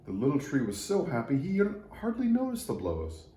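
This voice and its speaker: A man reading a children's story aloud in an expressive storytelling manner. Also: medium pitch, moderate pace. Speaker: storybook reader for church children. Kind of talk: scripted children's story narration. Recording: echoey room, faint background noise.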